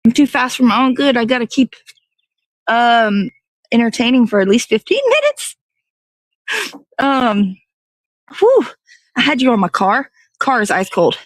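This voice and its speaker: A woman's voice: a little high, big pitch swings, a little slow, long pauses, rough recording. A woman, hosting a casual, rambling livestream in a playful, slangy, easygoing way. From American South. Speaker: host of a morning livestream show.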